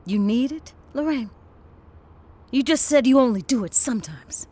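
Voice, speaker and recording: A woman's voice: on the high side, animated, normal speed, a little mellow. A woman with an American accent playing a worried, confrontational lover in an audio drama, speaking in an emphatic, plain-spoken way. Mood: angry, accusing. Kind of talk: naturalistic audio drama acting.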